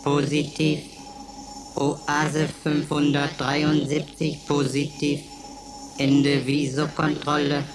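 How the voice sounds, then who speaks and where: A woman's voice: deep voice, completely flat delivery, takes it slowly, music in the background, low-quality recording. An actress playing an emotionless female computer in a radio play, announcing in clipped, formulaic phrases. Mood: flat, procedural. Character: emotionless female computer running roll calls and quizzes.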